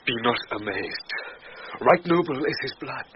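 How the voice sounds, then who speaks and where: An actor playing a noble, romantic duke, speaking in a stately, courtly way in classical radio drama. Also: male voice, medium pitch, animated, measured pace, lightly acted. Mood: wondering, tender. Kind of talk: classical Shakespearean radio acting. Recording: dull, noisy old radio broadcast.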